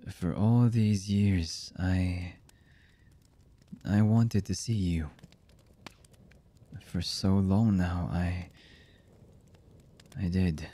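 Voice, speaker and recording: A man acts out a lonely, brooding young villain for an anime boyfriend ASMR. The voice is low and mellow, husky with breath and often near a whisper. He speaks very slowly and hesitantly, as if confiding something intimate. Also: exaggerated voice. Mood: lonely, vulnerable. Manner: slow, halting, hesitant, intimate.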